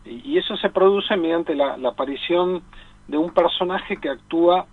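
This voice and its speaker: A man with an Argentine accent giving halting, reflective conversational commentary as a film columnist, calling into a radio show. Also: high voice, no echo. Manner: halting, reflective, repeats himself. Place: remote call into a radio studio. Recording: thin phone-line sound.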